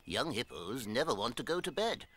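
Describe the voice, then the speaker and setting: A man's voice: mid-range voice, very animated, moderate pace, fairly bright tone, breathy edge. A man voicing a tiny, elderly, chuckling animal neighbour in a children's television show. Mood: friendly, chatty. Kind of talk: children's television character acting.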